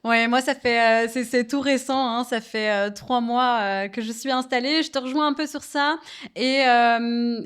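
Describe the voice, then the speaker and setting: A woman's voice: on the high side, unhurried, fairly bright tone, smooth. A woman from France chatting casually and reflectively, asking questions and using fillers. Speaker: co-host and interviewer. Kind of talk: casual conversation.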